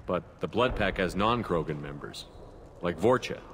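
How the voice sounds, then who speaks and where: An actor playing a composed, businesslike human commander in a video game, direct and questioning. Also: male voice, low-pitched, animated, normal speed, a bit bright. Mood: direct, inquiring. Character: steady, businesslike human male military commander. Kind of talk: video game character acting. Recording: long reverb, clear background noise.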